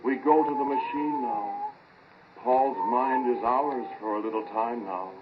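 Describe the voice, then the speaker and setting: A man's voice: medium pitch, fairly even intonation, a little slow, lightly acted. An insistent alien hive mind voiced by a male actor speaks through a possessed man in short, chanted, repetitive phrases. It comes through a muffled, noisy 1940s radio horror recording. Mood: cold, commanding.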